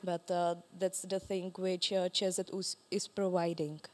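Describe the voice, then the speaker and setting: A woman in her twenties, a student ambassador, speaking quickly and eagerly on a panel. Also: on the low side, little pitch movement. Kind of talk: panel talk.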